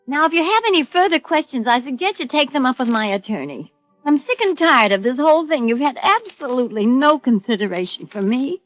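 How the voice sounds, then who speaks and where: An actress playing a defensive, impatient widow in an American radio drama, speaking in a clipped, indignant manner. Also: female voice, high-pitched, big pitch swings, fairly quick, no room sound, faint music behind. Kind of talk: 1950s radio drama acting. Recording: dull old radio recording.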